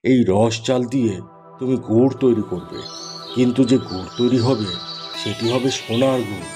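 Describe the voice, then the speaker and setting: A man's voice: medium pitch, normal speed, dark tone, soft background music, close to an everyday voice. A man voicing a kindly, solemn magical tree in a fairy tale cartoon, speaking in a reassuring, instructive way. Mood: benevolent, instructive.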